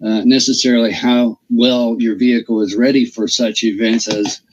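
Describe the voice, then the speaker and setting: Husky man with rambling questions. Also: medium pitch, level tone, moderate pace. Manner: rambling, restarts, filler-heavy questions. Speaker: interview host. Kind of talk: casual interview. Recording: dull, lo-fi audio.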